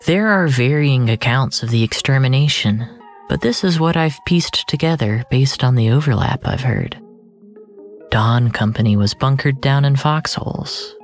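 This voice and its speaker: A storyteller narrating first-person literary fiction in a deliberate, brooding manner, with phrases that sink into a hushed breath. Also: medium pitch, very animated, faint music behind.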